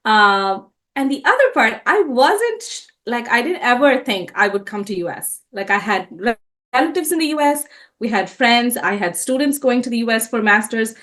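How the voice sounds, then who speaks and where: A woman's voice: a little high, animated, normal speed, a bit bright. A woman in her thirties, born and raised in India, telling her life story as an interview guest, earnest and often restarting her sentences. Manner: earnest, many restarts and self-corrections. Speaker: entrepreneur guest.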